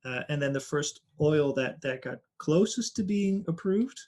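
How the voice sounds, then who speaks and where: A man with a Dutch accent answering interview questions thoughtfully over a video call, often trailing off quieter. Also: a little high, lively intonation, unhurried. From Netherlands. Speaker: guest artist.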